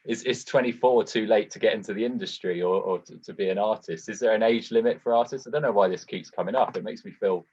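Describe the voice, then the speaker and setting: A man with a husky, fairly low voice chats as an interviewer. His phrasing is halting and informal, and the recording sounds a little dull and muffled. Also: darkish tone. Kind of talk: casual interview chat.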